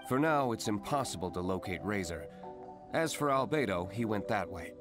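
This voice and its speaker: A male actor voicing a brooding, aloof young nobleman in a video game, terse, serious and deadpan. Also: on the low side, normal speed, over loud music, close to an everyday voice. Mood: stern, irritated.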